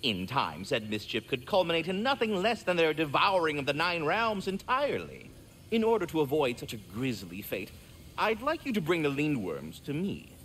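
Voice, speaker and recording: A man's voice: on the high side, huge pitch swings, moderate pace, fairly bright tone, a little hiss or hum, poor audio. An actor voicing a courteous, wordy, fatherly giant squirrel with flowery formal speech in a video game. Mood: earnest. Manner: verbose, formal, flowery diction. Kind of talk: theatrical video game acting.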